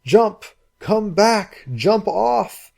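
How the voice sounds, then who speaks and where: A man voicing a desperate, angry young man who shouts and bellows. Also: lowish voice, unhurried. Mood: shouting. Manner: shouting across surf.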